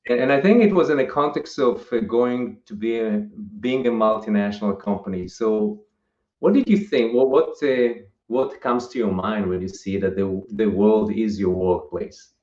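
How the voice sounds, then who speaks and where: A man's voice: fairly low pitch, normal speed, a little mellow. A male host putting casual, slightly rambling questions to his guest. His voice carries the echo of a roomy space. The sound is dull and lo-fi. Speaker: show host, interviewer.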